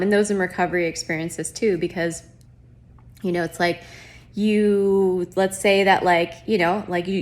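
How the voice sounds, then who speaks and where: A woman hosting her own podcast as a life coach, talking casually with lots of fillers, sounding nasal from a cold. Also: medium pitch. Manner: chatty, many fillers like "um" and "like". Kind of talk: casual solo podcast talk.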